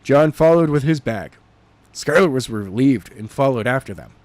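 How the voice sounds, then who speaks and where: A man co-hosting a podcast, reading chapters of a novel draft aloud and then chatting about them in an animated, joking way. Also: mid-range voice, dry recording. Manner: animated, jokey, quick to slip into character voices.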